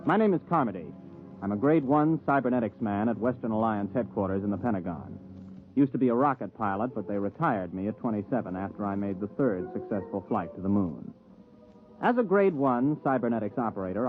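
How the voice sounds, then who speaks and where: A man's voice: fairly low pitch, lively intonation, a little fast, faint music behind, lightly acted. Man as earnest ex-pilot, thin vintage radio sound. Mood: matter-of-fact, confiding. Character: earnest young ex-rocket pilot turned government computer operator. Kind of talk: 1950s radio drama, first-person narration and dialogue. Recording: thin phone-like old radio recording.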